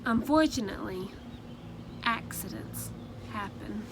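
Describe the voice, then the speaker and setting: A woman reading a children's picture book aloud in a slow, gentle storytelling manner, pausing to ask her listeners questions. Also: mid-range voice, animated, background noise.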